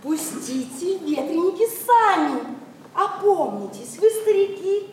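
Pert young maid in stage verse comedy: high, unhurried, exclamatory, echoey and distant. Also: female voice, lively intonation, darkish tone, a little hiss or hum, full character voice. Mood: flustered, scolding. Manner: theatrical, exclamatory delivery. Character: lively, pert young maidservant, sleepy and fretful. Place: theatre stage, live broadcast recording.

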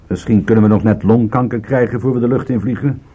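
An actor playing a world-weary, sardonic private detective with a husky voice in a radio play. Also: male voice, mid-range voice, fairly even intonation, quick pace, phone-quality sound, natural voice. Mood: wry, weary. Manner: wry, sardonic, laconic. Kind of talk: radio drama acting with first-person narration.

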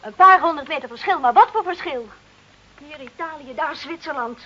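Shrill, scornful, nagging wife. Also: female voice, animated, normal speed, sharp tone. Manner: scornful, complaining. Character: discontented, nagging bricklayer's wife, homesick for Switzerland. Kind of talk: radio play acting. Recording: dull, noisy old radio recording.

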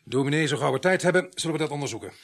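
Fast-talking, harried village police sergeant. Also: male voice, on the high side, animated. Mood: businesslike, impatient. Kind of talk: Dutch radio drama acting.

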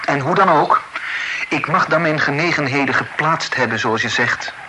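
Actor as polite young suitor, old radio play: mid voice, formal, stammers when flustered, hissy recording. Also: male voice, on the high side, normal speed, natural voice. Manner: courteous, stammers when moved. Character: earnest young male suitor, courteous and hesitant. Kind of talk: old radio drama acting.

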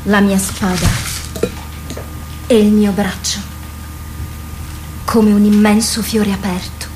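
A woman's voice: mid-range voice, little pitch movement, takes it slowly, a little mellow, a little hiss or hum, lightly acted, low-quality recording. An actress playing an impassioned, poetic woman in a theatrical radio drama, speaking with emphatic delivery. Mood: solemn, reflective. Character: impassioned woman confronting and pleading with a warrior.

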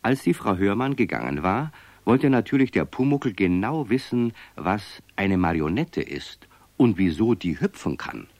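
A man narrating a children's radio play as a genial storyteller, in an expressive, measured way. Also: on the low side, no echo. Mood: genial, amused.

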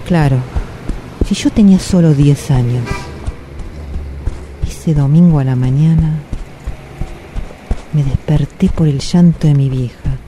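A woman's voice: sits low, takes it slowly, long pauses, warm tone, slight background noise, low-quality recording. An actress playing an anguished, self-questioning woman in a radio drama monologue, with a Buenos Aires accent, hesitating and repeating phrases. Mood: anguished, introspective. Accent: Buenos Aires Argentine. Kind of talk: radio drama monologue, introspective first-person storytelling.

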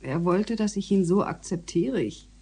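An actress playing a middle-aged female politician and guilt-ridden mother in a radio drama, breathy, with halting, emotional delivery. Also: fairly low pitch, animated, a little mellow. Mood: bitter, guilty. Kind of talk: naturalistic German radio drama acting.

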